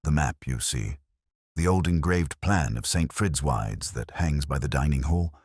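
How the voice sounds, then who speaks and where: A man with a gravelly, husky voice reads a scripted first-person detective story in a measured, brooding, literary way. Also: extremely low pitch, lively intonation, darkish tone, dry recording, clean studio sound.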